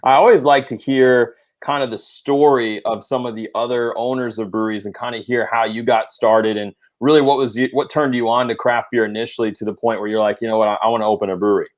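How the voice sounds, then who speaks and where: A man's voice: medium pitch, fairly even intonation, fast talker, hardly any pauses, highs cut off. A man co-hosting a craft beer podcast, friendly, laughing often and asking long questions. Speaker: podcast co-host. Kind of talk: casual podcast interview.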